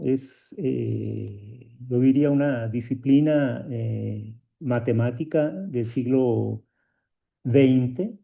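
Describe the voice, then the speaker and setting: A man giving an unscripted academic talk over a video call, slow and hesitant with many filler sounds, a native Portuguese speaker. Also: mid-range voice, creaky, narrow, tinny audio. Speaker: guest speaker at a colloquium.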